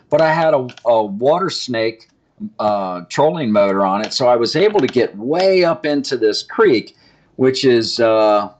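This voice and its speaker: Easygoing man rambling on a call. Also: mid-range voice. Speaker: podcast guest on a call. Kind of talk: casual rambling storytelling and chat. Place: calling in from a car.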